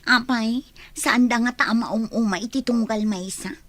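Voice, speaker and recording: A woman playing a shy young office worker who is quietly smitten with a coworker, in an audio drama. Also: fairly high pitch, normal speed. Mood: curious, probing. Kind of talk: amateur audio drama acting.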